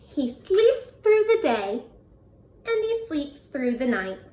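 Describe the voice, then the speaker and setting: A woman reading a picture book aloud to young children in an expressive way, drawing out words and putting questions to the listeners. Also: sits high, slow pace. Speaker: children's read-aloud host. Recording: roomy echo, distant from the mic.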